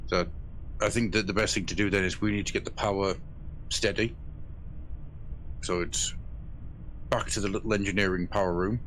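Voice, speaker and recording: A tabletop player with one of the lowest voices around, talking dryly and playfully. He plans his character's moves, and his banter has a wry edge to it. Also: steady tone, normal speed, long pauses, fairly bright tone, a little hiss or hum, low-quality recording. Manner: dry, playful, chuckling. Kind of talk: casual tabletop role-play.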